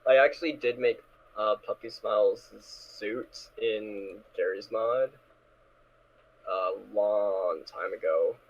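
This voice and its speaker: A man's voice: on the low side, takes it slowly. A man chatting casually in an online group of amateur voice actors. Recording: thin, phone-like online call audio.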